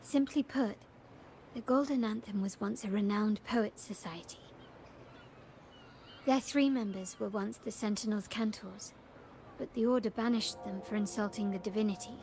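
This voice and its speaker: A woman's voice: medium pitch, steady tone, moderate pace, a little mellow, close to an everyday voice. A woman playing a refined, cordial young lady in a fantasy video game, speaking in a polite, articulate, genteel way. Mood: hushed, explanatory. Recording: game dialogue over background music.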